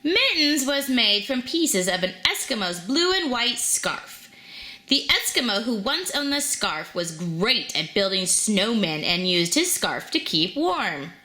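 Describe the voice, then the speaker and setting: A woman reading a children's storybook aloud in an animated, expressive storytelling manner. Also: medium pitch, unhurried. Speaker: storybook reader.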